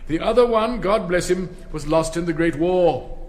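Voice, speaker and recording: An actor playing an elderly, pompous public-school headmaster with an upper-class English accent, declaiming ceremonially in a radio drama. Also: male voice, high-pitched, moderate pace, darkish tone, natural voice. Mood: solemn, nostalgic. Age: older. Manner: pompous, declamatory, sonorous oratory with stern asides.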